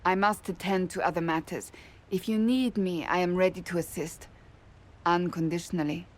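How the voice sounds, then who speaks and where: A woman's voice: lowish voice, steady tone, moderate pace, natural voice. A woman playing a dignified, steadfast warrior priestess in a video game, formal and earnest. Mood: resolute, loyal. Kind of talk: dramatic video game dialogue.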